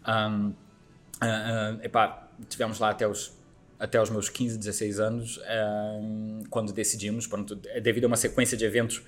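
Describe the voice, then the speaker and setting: A man's voice: fairly low pitch, little pitch movement. Laid-back man with a Brazilian-tinged Portuguese accent. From Terceira, Azores. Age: 40s. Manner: halting, many restarts and fillers. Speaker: podcast guest. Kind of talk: casual interview chat.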